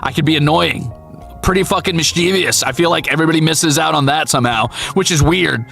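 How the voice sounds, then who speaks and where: A man's voice: fairly high pitch, a little fast, creaky, a bit bright, faint music behind. A man chatting casually on a livestream, candid and playful, swearing freely and poking fun at himself. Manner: candid, playful, profane, self-deprecating. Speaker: VTuber streamer and voice actor. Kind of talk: casual livestream chat answering viewers.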